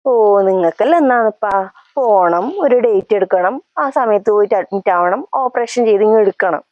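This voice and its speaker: A woman's voice: mid-range voice, fairly quick, no room sound, highs cut off, lightly acted. A woman playing a glib, dismissive village neighbour in a comedy sketch, speaking with a Kannur accent. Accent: Kannur, north Kerala. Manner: glib, belittling.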